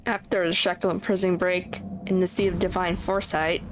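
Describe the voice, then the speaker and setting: A woman's voice: fairly low pitch, little pitch movement, moderate pace, background noise. A woman game streamer giving casual playthrough commentary.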